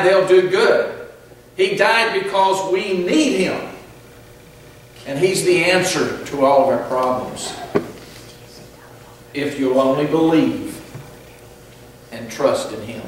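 A middle-aged man with a Southern US accent preaching a sermon at a pulpit, earnest and measured with pauses. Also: a little high, a little hiss or hum. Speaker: pastor. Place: large church sanctuary, at a pulpit. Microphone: pulpit mic, filmed from far back. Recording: echoey church hall from far off.

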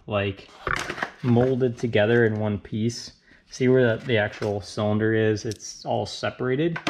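A man's voice: mid-range voice, noisy background. A man in his thirties talking casually to the camera as he takes apart a car engine in his home garage, thinking aloud with fillers and trailing doubts. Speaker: car channel host. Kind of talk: casual garage commentary while working. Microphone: action camera on body and tripod.

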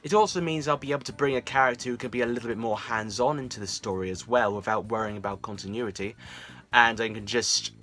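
A man's voice: medium pitch, fast, sharp tone. A young man talking casually to camera as a fan series creator, chatty, with self-deprecating asides. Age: young adult. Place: small room indoors at home.

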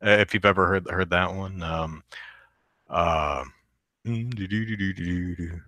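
Man, deep voice, bright tone, dry close sound, casual rambling host. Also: unhurried, no room sound. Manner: rambling, lots of fillers and restarts. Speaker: podcast host reading chat. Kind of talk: casual live-stream chat.

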